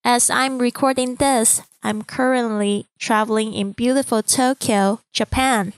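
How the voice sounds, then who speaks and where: A woman in her thirties from Taiwan with a Mandarin accent, a podcast host reading a calm, earnest, inspirational script. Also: on the high side, measured pace, clear, resonant tone, no echo. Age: 30s.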